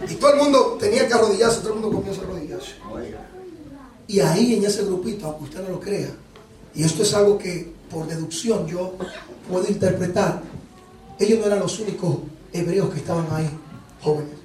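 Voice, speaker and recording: A man preaching a lively sermon with a Dominican accent, animated and joking with the congregation. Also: sits high, a little fast. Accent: Dominican Spanish. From Dominican Republic. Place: church hall. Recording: echoey hall from far off, congregation.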